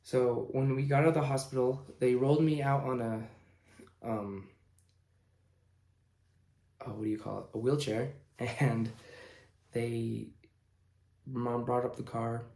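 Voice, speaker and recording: A young man in his late teens tells a personal story in a casual, informal style. His delivery is halting, with pauses in the middle of sentences. His medium-pitched voice sits in a roomy, echoing indoor space. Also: fairly even intonation, vocal fry, dark tone. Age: young adult, late teens. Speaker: vlogger telling own story.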